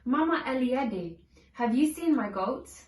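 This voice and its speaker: Female picture-book reader. Lively, swooping delivery of dialogue, recorded in an echoey room a little off the mic. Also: high voice, unhurried, distant mic. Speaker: storybook reader. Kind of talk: children's read-along storytelling with acted dialogue.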